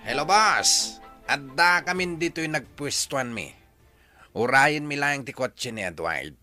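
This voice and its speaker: A man voicing a brash, boastful thug henchman in an audio drama who shouts his threats. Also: medium pitch, lively intonation, takes it slowly, soft background music, full character voice. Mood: eager. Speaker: hired thug. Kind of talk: Ilocano audio drama acting.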